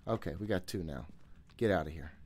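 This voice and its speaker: A man's voice: low-pitched, lively intonation, brisk, a little mellow. A man in his thirties giving casual live commentary as he plays a horror game, jumpy and swearing freely as he talks to himself. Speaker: video game streamer. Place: small room at home. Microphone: desk microphone close to the mouth.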